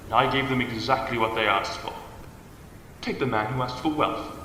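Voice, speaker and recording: A man's voice: mid-range voice, bright, forceful tone, natural voice. Echoing, gloating devil acted by a man. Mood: gloating menace. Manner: slow, theatrical, menacing. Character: malevolent male devil bound to a bridge, gloating and cruel. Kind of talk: fan audio drama monologue. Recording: cavernous echo, long reverb, background noise.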